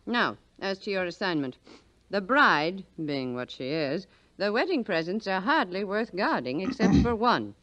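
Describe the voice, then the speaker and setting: An actress playing an imperious, catty old rich widow in a 1940s radio drama, haughty and dryly sardonic. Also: female voice, mid-range voice, sing-song, measured pace, fairly bright tone, no room sound. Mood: catty, scornful. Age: older.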